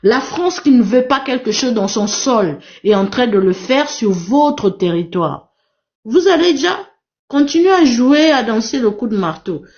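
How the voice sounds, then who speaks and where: A woman's voice: on the high side, lively intonation, measured pace, a little mellow. A woman whose first language is Portuguese, moderating a live panel and urging her listeners with emphatic, repetitive appeals. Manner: emphatic, repetitive, exhorting the audience. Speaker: live stream moderator and activist. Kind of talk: impassioned live panel talk. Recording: dull lo-fi live stream audio.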